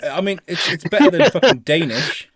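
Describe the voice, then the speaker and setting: Halting, sweary male podcast host. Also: high-pitched, sing-song, a crowd in the background. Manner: halting read-outs, sweary asides. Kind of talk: casual comedy news chat, reading stories aloud.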